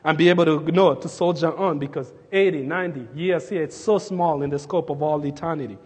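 A man from Kenya, preaching a sermon and telling a story with fillers and restarts, sometimes dropping his voice to a hush. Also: fairly high pitch, a little fast, slightly rough, in a large hall. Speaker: pastor.

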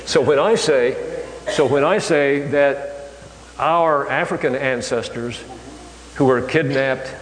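An older man giving a sermon in a church, talking in a conversational, halting way with many fillers and restarts. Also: mid-range voice. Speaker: preacher. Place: church hall. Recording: echoey hall, faint hum.

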